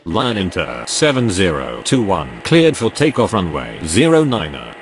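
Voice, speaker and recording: Deep, varied man acting as airport radio operator. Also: wildly swooping pitch, a little slow, soft background music, exaggerated voice. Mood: businesslike. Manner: formal, procedural. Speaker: air traffic radio voice. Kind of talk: clipped aviation radio phraseology.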